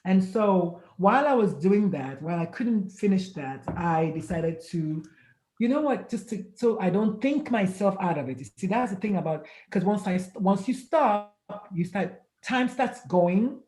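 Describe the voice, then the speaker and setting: A woman in her fifties, an author and pediatrician, telling stories in a casual interview and acting out the dialogue with lively swings. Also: mid-range voice, unhurried, echoey room, poor audio. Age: 50s. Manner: animated storyteller, acts out quoted dialogue, laughs often.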